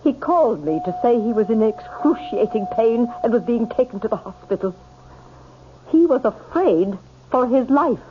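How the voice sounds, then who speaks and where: A woman's voice: a little high, animated, normal speed, natural voice. An actress playing a haughty, hostile older mother-in-law who speaks sharply and accusingly in a 1970s radio drama. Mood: cold, haughty. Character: imperious, hostile older mother-in-law, protective of her son. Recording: noisy, dull old radio broadcast.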